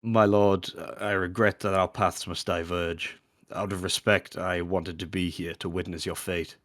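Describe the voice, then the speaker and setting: Treacherous Sith officer: low, dry, measured and commanding, formal diction for a space-opera audio drama. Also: male voice, level tone, fairly bright tone, dry recording. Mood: cold, formal. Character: controlled, formal male Sith officer, lover turned betrayer.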